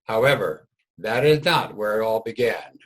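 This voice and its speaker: A man's voice: lowish voice, expressive, moderate pace, fairly bright tone. A man narrating a history play from a script in a reader's theater production.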